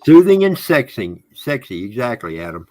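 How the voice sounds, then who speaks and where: A man's voice: mid-range voice, sing-song, moderate pace, darkish tone. A middle-aged man co-hosting a casual podcast livestream, friendly and folksy, greeting viewers by name. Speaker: podcast co-host.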